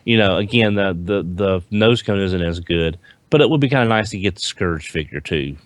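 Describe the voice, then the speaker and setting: A man in a casual panel chat, speaking in a halting way and repeating words for emphasis. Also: deep voice, expressive, no echo. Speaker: podcast panelist.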